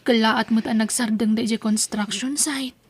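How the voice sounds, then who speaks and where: A woman's voice: fairly high pitch, steady tone, moderate pace, breathy edge. A woman playing a humble, struggling young mother in an Ilocano audio drama. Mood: earnest.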